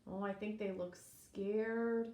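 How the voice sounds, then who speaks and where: A woman preschool teacher reading a story aloud to young children, expressively, pausing to explain and ask simple questions. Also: medium pitch, fairly even intonation, warm tone. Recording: echoey room.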